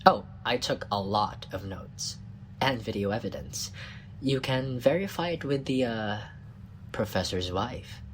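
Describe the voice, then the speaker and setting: A man's voice: mid-range voice, expressive, a little slow, a little hiss or hum, natural voice, poor audio. A man playing a cocky, bumbling little scientist. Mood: sheepish, boastful. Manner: flustered, stammering, smug. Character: tiny male scientist Carlyle, cocky, bumbling, crude flirt. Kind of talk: scripted comedic audio roleplay.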